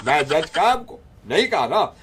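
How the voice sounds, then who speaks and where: A man's voice: a little high, very animated, normal speed, background noise, pitched above the performer's own voice. A man playing a flustered, defensive busybody on a prank call, repeating himself as he pleads to be heard. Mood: flustered pleading. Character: pleading, evasive busybody explaining a neighbourhood brawl.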